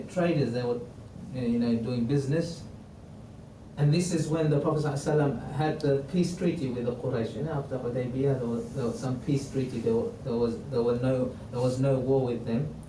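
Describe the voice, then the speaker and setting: Man, non-native English speaker, mosque class, halting with restarts, Arabic quotes, faint background noise. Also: mid-range voice, warm tone. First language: not English. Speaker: imam teaching a class. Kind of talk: religious history lesson. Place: mosque hall. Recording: roomy, distant mic, faint noise.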